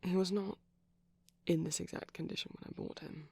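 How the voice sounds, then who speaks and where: Male, shy gentle boyfriend character, ASMR rambling, breathy and husky, gravelly fry, frequent whispering, hesitant delivery. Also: sits high, fairly even intonation, normal speed, no echo. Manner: hushed, often dropping into whispers, gentle and hesitant. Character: shy, gentle pastel vampire boyfriend showing off plush toys. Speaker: ASMR roleplay performer.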